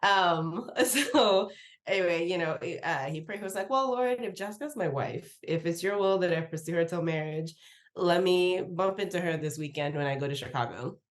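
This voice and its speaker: Low-pitched woman from nigeria telling a long story on a podcast, chatty, laughs a lot, acts out quotes. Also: normal speed. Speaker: podcast guest, research professor. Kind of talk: casual interview storytelling.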